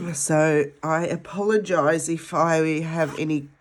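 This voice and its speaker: A woman's voice: sits low, slow. An Australian woman vlogging at home, nasal from a head cold, chatting in a rambling way while she counts cash. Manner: rambling, chatty, with many ums. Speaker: budgeting vlogger.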